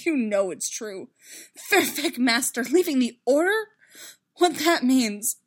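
A woman voicing an anguished, self-loathing young knight in a space fantasy story, halting and desperate. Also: medium pitch, expressive, lightly acted. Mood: bitter, upset.

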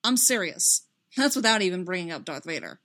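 A woman's voice: on the low side, expressive, fairly quick, a bit bright. A woman voicing a hot-headed young man, an emphatic and loyal friend in a dramatic story reading, given to outbursts and broken repetition. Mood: indignant.

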